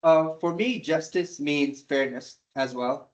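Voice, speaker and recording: A man in his twenties from Sri Lanka, speaking as a student candidate and pausing often with "uh". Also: on the high side, dull audio. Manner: halting, frequent "uh" fillers. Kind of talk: candidate introduction and answer.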